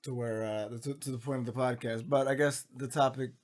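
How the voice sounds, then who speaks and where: A young man co-hosting a casual gaming podcast while losing his voice, speaking in a relaxed way with fillers. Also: mid-range voice, a little fast. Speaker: podcast co-host. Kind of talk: casual chat.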